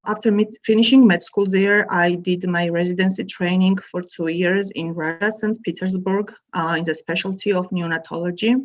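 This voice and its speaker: A woman's voice: fairly low pitch, steady tone, moderate pace, hardly any pauses. A woman from Georgia, a medical student, introducing herself on a panel earnestly, with fillers. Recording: dull lo-fi audio.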